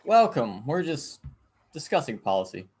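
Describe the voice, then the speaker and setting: A man's voice: mid-range voice, very animated, moderate pace, a little mellow, slightly rough. A man playing a smug, amused aristocrat lord in a dramatic script reading, with a wry, breezy manner. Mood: flustered, breezy.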